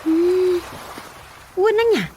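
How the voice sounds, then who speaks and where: Very high female voice, lively daughter, Ilocano accent, radio drama comedy, faint background noise. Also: extremely slow, exaggerated voice. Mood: chatty. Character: dutiful daughter Rosa, lively and chatty.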